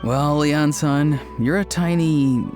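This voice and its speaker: A man playing an earnest, kindly video game character who tells silly things solemnly, with a deadpan manner. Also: a little high, slow pace. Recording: music behind the voice.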